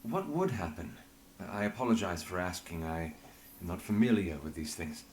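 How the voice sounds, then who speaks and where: An actor playing a measured, earnest male investigator in a video game, formal and tactful. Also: sits low, lively intonation, darkish tone, a little hiss or hum. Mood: concerned, careful. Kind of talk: dramatic video game voice acting.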